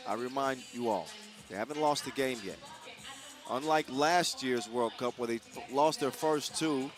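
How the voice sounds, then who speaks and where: A man calling a basketball game play by play, energetic and jokey, getting louder on big plays. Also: mid-range voice. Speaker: play-by-play commentator. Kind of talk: live basketball commentary. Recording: music playing behind the commentary.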